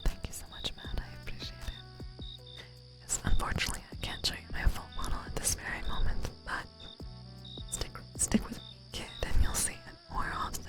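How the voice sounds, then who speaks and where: A woman live streamer whispering softly to her viewers, playful and giggly, rambling with many fillers, close to the microphone. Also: normal speed, rough recording. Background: music playing.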